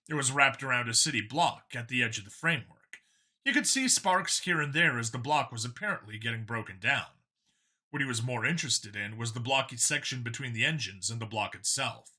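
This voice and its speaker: A male audiobook narrator with a very bright, forceful voice. His pitch moves in a lively way as he reads scripted science fiction narration. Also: husky.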